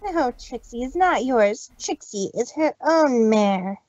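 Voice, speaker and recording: Woman doing a theatrical young mare magician, high bright voice, dry dull audio. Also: lively intonation, takes it slowly, no room sound. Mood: defiant. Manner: theatrical, refers to herself in third person. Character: boastful young mare magician who speaks of herself in third person. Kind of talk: fanfic reading voice acting.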